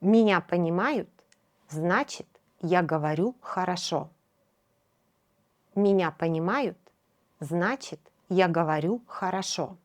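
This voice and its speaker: Slow, patient woman teaching language. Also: fairly low pitch. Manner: patient and encouraging, each phrase said twice. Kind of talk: slow, clear shadowing lesson.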